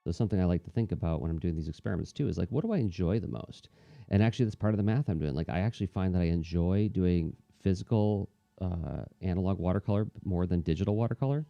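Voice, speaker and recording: A man's voice: low voice, fast talker, creaky, mellow, dry recording, highs cut off. A man in casual, reflective chat, talking in a thoughtful, rambling, conversational way as an artist hosting a livestream.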